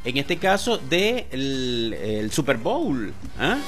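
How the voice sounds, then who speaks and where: A man's voice: medium pitch, sing-song, takes it slowly, a bit bright, soft background music. A man with a Venezuelan accent co-hosting a talk show in casual, colloquial commentary, often repeating phrases for emphasis.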